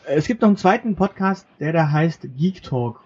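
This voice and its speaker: A man's voice: on the high side, expressive, normal speed, darkish tone, husky. A man co-hosting a podcast in casual banter, reading out from a list and joking as he goes. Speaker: podcast co-host. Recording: muffled lo-fi podcast recording with background noise.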